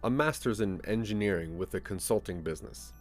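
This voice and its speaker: Male narrator, lowish pitch, lively intonation, scripted second-person storytelling, light music behind. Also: brisk.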